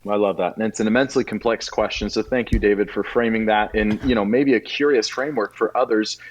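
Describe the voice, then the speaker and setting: A man's voice: on the low side, steady tone, fast. A man hosting a podcast, thanking his guest in an appreciative, rambling way. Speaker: podcast host.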